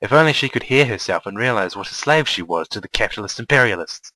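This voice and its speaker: A man's voice: on the low side, animated, fast talker, bright, forceful tone, dry recording. A man narrating a scripted first-person story.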